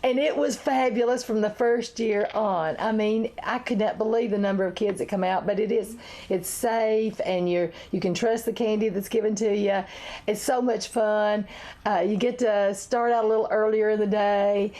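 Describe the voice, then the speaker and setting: A woman's voice: mid-range voice, steady tone, normal speed, dark tone. A woman, hosting a local TV chat show, chatty and laughing often. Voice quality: raspy. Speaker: chamber of commerce talk show host. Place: local TV studio.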